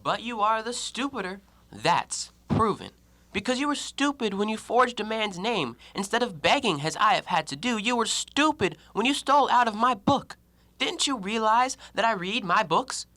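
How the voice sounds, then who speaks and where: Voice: mid-range voice, lively intonation, normal speed, pushed and bright. An actor in an audio play voicing a proud, shrewd, scornful educated man with formal, theatrical delivery. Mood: scornful, heated. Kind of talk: stage-style audio play acting.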